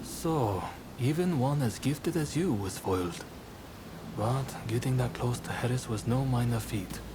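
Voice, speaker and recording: A man playing an earnest, level-headed samurai rebel in a video game cutscene, speaking in a measured, sober way. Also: medium pitch, animated, background noise, lightly acted. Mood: concerned.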